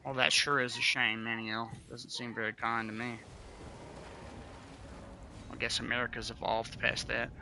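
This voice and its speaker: Bright-voiced young man streaming. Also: mid-range voice, normal speed, vocal fry, long pauses, a little hiss or hum, low-quality recording. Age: 20s. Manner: relaxed, swears freely, chats to viewers. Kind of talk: casual livestream chat.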